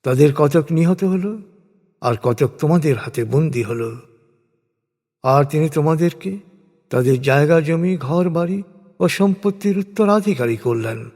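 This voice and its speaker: A man narrating translated scripture from a script in a solemn, formal, measured way with deliberate pauses. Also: on the high side, lively intonation, a little mellow. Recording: long reverberant hall-like echo.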